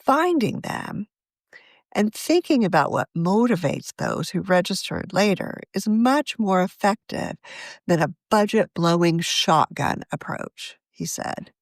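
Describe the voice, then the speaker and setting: Female narrator, lively pitch, measured article read, very dry recording. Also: dry recording. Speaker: magazine editor reading own article.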